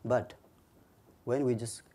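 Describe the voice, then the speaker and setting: A man's voice: mid-range voice, steady tone, takes it slowly, warm tone. A man with a Nepali accent, an author giving a talk on his book in short, earnest phrases. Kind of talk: lecture.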